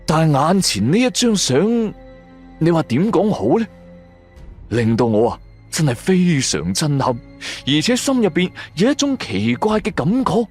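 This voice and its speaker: A male narrator with a Cantonese accent tells a dramatic story in the first person. His pitch moves widely and his delivery is colloquial and theatrical, in the manner of a radio drama. Music plays softly behind him. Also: very animated, a little slow, husky.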